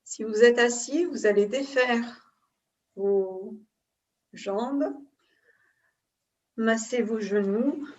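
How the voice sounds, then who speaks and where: Female yoga teacher, higher-pitched voice, very slow and calm guided relaxation, recorded some way from the microphone. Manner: calm, unhurried, pausing between short phrases. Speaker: yoga nidra instructor. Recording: distant mic, dull muffled sound.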